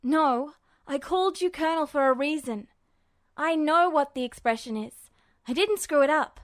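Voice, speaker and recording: A woman's voice: high voice, normal speed, no echo, studio quality, close to an everyday voice. A woman voicing a brash, hot-tempered young man, blurting his words emphatically in an audiobook. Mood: bewildered insistence. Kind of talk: audiobook character voice.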